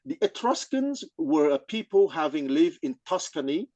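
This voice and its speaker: A man who is a non-native English speaker, explaining things in an informal scholarly discussion, haltingly and often checking with "okay?". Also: a little high, big pitch swings, mellow, dry recording, highs cut off. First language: not English. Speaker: study group participant.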